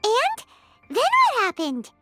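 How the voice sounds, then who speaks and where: Squeaky, tiny childlike game companion. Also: female voice, wildly swooping pitch, slow, heavily acted. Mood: curious. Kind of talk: video game voice acting. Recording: music behind the voice.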